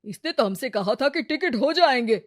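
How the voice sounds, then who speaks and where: A woman voicing a sensible, patient man who gives measured advice, in a cartoon moral story. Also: high voice, expressive, no room sound, studio quality. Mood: calm, reproving. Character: sensible, patient adult brother-in-law, mildly preachy.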